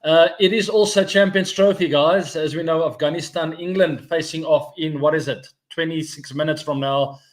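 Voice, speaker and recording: A man in his forties chats casually on a live stream about cricket. His voice sits a touch higher than most men's. He rambles in a friendly way and thinks out loud, staying upbeat throughout. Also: steady tone, moderate pace, few pauses. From South Africa. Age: 40s.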